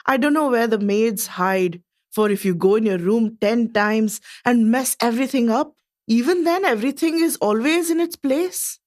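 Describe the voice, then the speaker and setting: A woman acts out a curious, admiring Indian lady visiting Edwardian England in a radio play. Her voice is on the high side, and she delivers her diary in precise, formal, expressive phrases. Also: moderate pace, close to an everyday voice. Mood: impressed, curious. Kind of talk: scripted dramatic reading of a diary.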